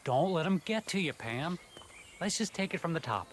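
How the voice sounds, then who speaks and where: A man's voice: on the high side, lively intonation, moderate pace, a little hiss or hum, lightly acted. A man playing an easygoing, supportive TV cameraman in a video game, casual and placating.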